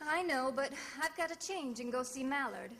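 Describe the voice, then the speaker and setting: A woman's voice: high voice, moderate pace, pushed and bright, slight background noise. An actress playing a breezy, shrewd female private eye in old-time radio detective drama, wry, glib and teasing. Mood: weary, bantering. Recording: vintage radio drama recording with music.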